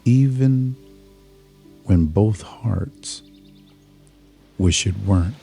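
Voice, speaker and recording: A man with a deep, mellow voice leads a guided meditation. He speaks extremely slowly, in short, soothing phrases with long pauses, over background music. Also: gravelly. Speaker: guided meditation and hypnosis narrator. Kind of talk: slow scripted guided meditation.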